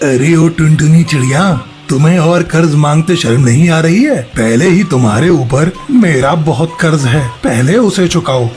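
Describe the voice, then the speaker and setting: A man's voice: a little high, expressive, normal speed, darkish tone, soft background music, lightly acted. A man voicing a stern, blunt grown male bird in a children's cartoon story. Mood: scolding. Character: stern adult male bird, moneylender and elder.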